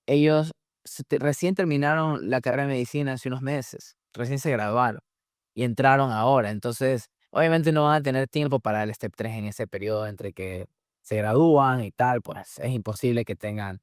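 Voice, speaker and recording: A man, a medical resident whose first language is Spanish, answering interview questions in a relaxed explanatory way with many restarts and fillers. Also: medium pitch, normal speed, no room sound, studio quality. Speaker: guest, surgery resident in the US.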